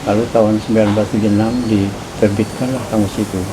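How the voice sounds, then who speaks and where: A man in his seventies with a Jakarta accent, recounting his memories slowly and deliberately in an interview at home. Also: fairly low pitch, level tone. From Indonesia. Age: 70s. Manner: measured, deliberate storytelling. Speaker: interviewee, linguist. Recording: loud background noise.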